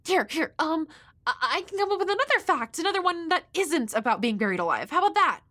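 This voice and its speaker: A woman's voice: very high voice, sing-song, fast talker, sharp tone, no echo, clean studio sound, heavily acted. A woman playing a shy, awkward, nerdy young woman next door in an audio roleplay, hesitant and rambling with a nervously apologetic manner. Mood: flustered. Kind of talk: intimate audio roleplay addressed to the listener.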